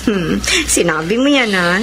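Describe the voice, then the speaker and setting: An actress with a Filipino accent playing a sweet, insecure pregnant wife in a radio drama. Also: female voice, medium pitch, lively intonation, a little slow, a bit bright. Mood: pouting. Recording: noisy radio drama recording.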